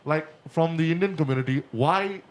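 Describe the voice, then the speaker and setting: A man's voice: fairly high pitch, normal speed, slight background noise. A young Singaporean man with a Singaporean English accent whose mother tongue is Punjabi, speaking emphatically on a community panel. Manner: animated, emphatic, repeats points. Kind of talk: casual panel chat.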